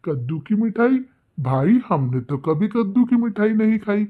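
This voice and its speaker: A man's voice: high-pitched, very animated, moderate pace. Man voicing a plaintive cartoon husband bird, phone-like sound. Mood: curious. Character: Tuntuni's anxious, plaintive husband bird, a sweet-maker. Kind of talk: children's cartoon story voice acting. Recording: thin phone-like band-limited sound.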